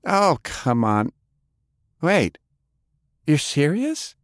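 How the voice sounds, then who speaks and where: A man's voice: on the high side, very animated, extremely slow, no room sound, studio quality, pitched above the performer's own voice, heavily acted. A man voicing a haughty, glamorous woman. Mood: teasing. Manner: cold and haughty. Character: sultry, prickly glamorous woman. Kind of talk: audiobook character voice.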